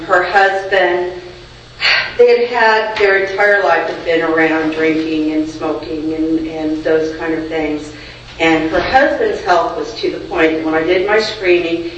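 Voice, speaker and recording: A clinic screener with a naturally loud voice, telling a chatty story at a group meeting. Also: medium pitch, a little hiss or hum. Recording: echoey room, far from mic, dull.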